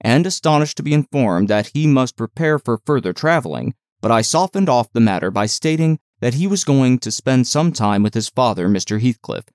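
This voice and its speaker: A man's voice: medium pitch, dry recording, clean studio sound. A man narrating a classic novel as an audiobook, reading scripted literary prose expressively. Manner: expressive storytelling.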